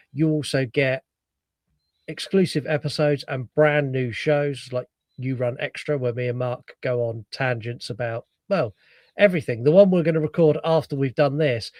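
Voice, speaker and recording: A man's voice: a little high, darkish tone, dry recording. Nasal man with a cold hosting. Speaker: podcast host. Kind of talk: casual chat and show plugs.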